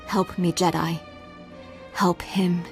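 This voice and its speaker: A woman's voice: fairly low pitch, level tone, slow, creaky. A woman voicing an earnest, solemn village mother in a video game. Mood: worried, pleading. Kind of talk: video game character acting. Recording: game music behind voice.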